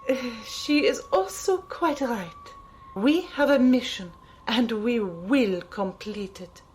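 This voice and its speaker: A woman playing a stern, defiant heroine in an audio drama, with formal, deliberate phrasing. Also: a little high, expressive. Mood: firm. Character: defiant, stern heroine facing old enemies. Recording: clear background noise.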